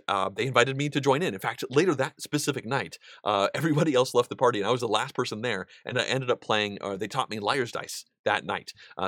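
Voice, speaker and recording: Guy in his 30s chatting about board games, kinda low voice, lots of ums, super dry close sound. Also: a bit bright, no echo. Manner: rambling, conversational, many ums and uhs. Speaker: board game content creator. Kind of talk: casual live Q&A, answering chat.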